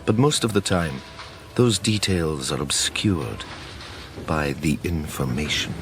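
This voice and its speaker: Radio drama lead: guarded, tired Scottish psychic, unhurried delivery, clear background noise. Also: male voice, a bass voice, expressive, exaggerated voice. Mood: reflective. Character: weary, guarded male psychic detective, fond of his mother. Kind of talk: radio drama acting, with introspective narration.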